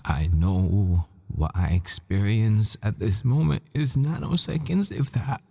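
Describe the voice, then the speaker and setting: A man playing a troubled boyfriend, a professor and assassin, in a halting, stammering audio roleplay. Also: lowish voice, creaky, warm tone, breathy edge, full character voice. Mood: desperate pleading. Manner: halting, stammering, repeats himself. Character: desperate boyfriend, dazed math professor and assassin. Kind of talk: dramatic audio roleplay acting.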